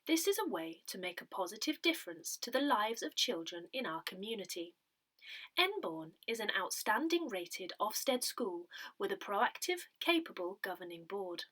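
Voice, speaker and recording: Bright-voiced woman reading aloud. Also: medium pitch, very animated, moderate pace. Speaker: newsletter reader. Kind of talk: scripted read-aloud of a parish newsletter.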